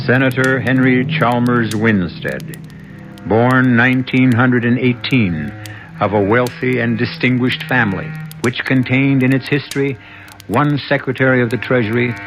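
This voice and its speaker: A man hosting a radio drama with measured, portentous scripted narration. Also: mid-range voice, rough recording. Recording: old radio broadcast with music behind.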